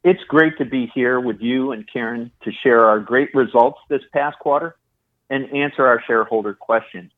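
A man speaking as a chief executive, reading prepared corporate remarks in a formal, measured way over a phone. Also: medium pitch. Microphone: phone call. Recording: thin, narrow phone line.

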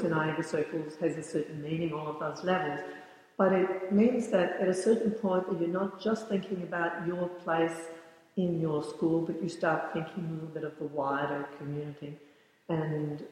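A woman in her sixties, a yoga teacher, answering interview questions thoughtfully and often pausing to search for words. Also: low voice, darkish tone. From born in the United States, raised in the Bahamas. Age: 60s. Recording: very echoey room with long reverb.

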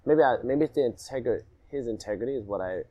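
A man from Alabama who grew up partly in Jamaica, telling his story as a podcast guest in a reflective, animated way. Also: mid-range voice, fast talker, muffled recording. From Alabama, raised partly in Jamaica. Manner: reflective, animated, rhythmic repetition. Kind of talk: casual storytelling in a podcast interview.

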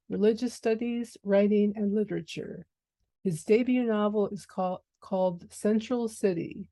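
A woman hosting a podcast interview, friendly and quick to laugh, also reading a sponsor message. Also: mid-range voice, dark tone. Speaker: podcast host.

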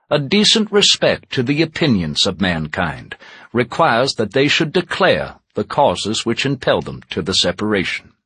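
Husky man declaiming with lively swings. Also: medium pitch. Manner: formal, measured, declamatory. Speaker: historical interpreter reading aloud. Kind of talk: dramatic oratorical reading of an 18th-century document.

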